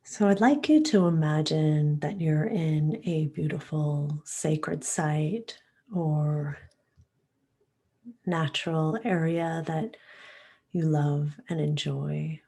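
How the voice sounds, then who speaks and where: A woman leading a guided meditation in a soft, calm, soothing and unhurried way, with long pauses and breath at the ends of phrases. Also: low voice.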